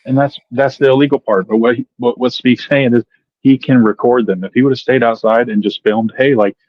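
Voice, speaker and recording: A man's voice: mid-range voice, steady tone, a little fast, no room sound. A man on a debate panel, explaining points at length in a casual way. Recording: dull lo-fi mic.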